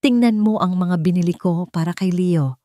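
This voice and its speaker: A woman's voice: fairly low pitch, normal speed, dark tone, no room sound, natural voice. A woman with a Filipino accent voicing a falsely sweet, cold and controlling rich stepmother in an audio drama. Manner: saccharine, turning curtly commanding.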